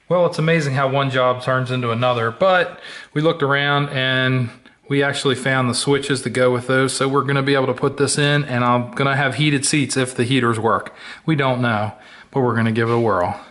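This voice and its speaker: A man's voice: medium pitch, steady tone, normal speed, fairly bright tone. A middle-aged man narrating a casual how-to vlog, thinking aloud in a tentative way. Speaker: vlogger. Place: car interior and indoor workbench. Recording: noisy recording with clicking.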